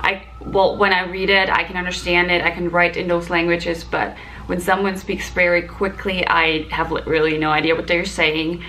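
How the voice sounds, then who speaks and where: A young woman whose first language is German talks in a slightly low voice. She explains things informally, as in a casual vlog to camera. Some background noise from the hotel room sits behind her. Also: little pitch movement, moderate pace, bright, forceful tone, low-quality recording. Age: 20s. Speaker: vlogger, flight attendant. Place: small hotel room, indoors.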